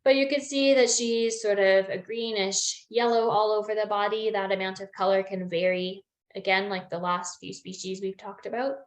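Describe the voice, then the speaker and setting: A woman's voice: mid-range voice, steady tone, moderate pace, hardly any pauses. Woman teaching birds over a video call. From Newfoundland. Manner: friendly, explanatory, many ums. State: losing her voice, clearing throat. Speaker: bird identification presenter. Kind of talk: online webinar lesson. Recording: echoey room on a video call.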